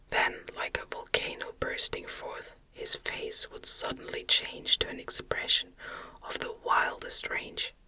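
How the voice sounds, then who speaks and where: Whispering woman, slow and breathy ASMR reading. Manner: gentle, soothing, measured. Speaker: ASMR narrator reading an audiobook.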